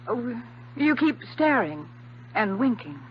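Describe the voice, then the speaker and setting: An actress playing a polite, coy, lightly scolding young ship's nurse in a 1950s radio drama. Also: female voice, fairly high pitch, big pitch swings, takes it slowly. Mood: polite, puzzled. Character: prim, teasing young female ship's nurse. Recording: dull, faintly noisy old radio recording.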